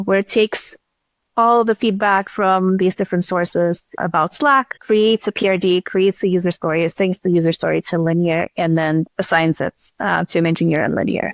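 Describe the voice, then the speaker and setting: A woman's voice: lowish voice, steady tone, normal speed, creaky, no echo. A woman guest on a podcast, informally explaining a product demo step by step. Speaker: podcast guest, startup chief executive.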